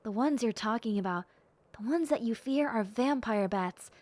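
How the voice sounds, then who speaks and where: A woman voicing a timid, excitable young bat-girl hybrid in a roleplay audio drama, polite and gushing, with hesitant little exclamations. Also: on the high side, normal speed, no echo, lightly acted. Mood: chatty, cheerful.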